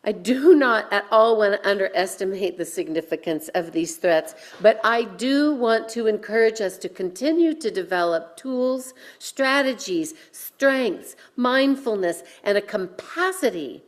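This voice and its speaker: Female minister, medium pitch, unhurried deliberate sermon delivery with spaced-out phrases. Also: animated. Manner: deliberate, measured phrasing with pauses. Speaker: minister leading a worship service. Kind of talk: sermon with guided breathing.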